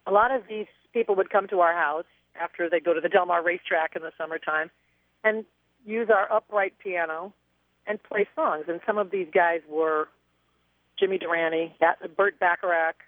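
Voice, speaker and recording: A woman's voice: fairly low pitch, fairly even intonation, normal speed, no echo. A woman singer telling stories as a guest in a radio interview, chatty and reflective, heard over a phone line.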